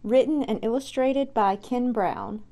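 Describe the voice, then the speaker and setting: A young woman reading a children's picture book aloud with expressive, deliberate storytelling delivery. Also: a little high. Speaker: library storyteller.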